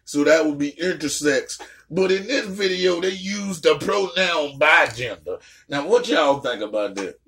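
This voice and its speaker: A young adult woman hosting a casual reaction chat, talking loud and brash, swearing a lot and trash-talking playfully. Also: low voice, animated, measured pace, few pauses, slight background noise. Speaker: host.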